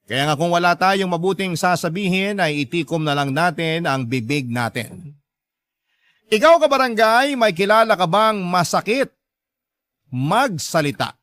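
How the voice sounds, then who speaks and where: A man with a Filipino accent narrating a scripted letter as a radio drama host, in a measured storytelling manner. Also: high voice, expressive, fairly bright tone, dry recording.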